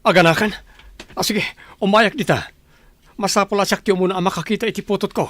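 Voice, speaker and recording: A man playing an anxious, bossy expectant father and master of the house in a radio drama, brisk and urgent. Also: sits high, fairly even intonation, a bit bright, no echo. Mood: businesslike.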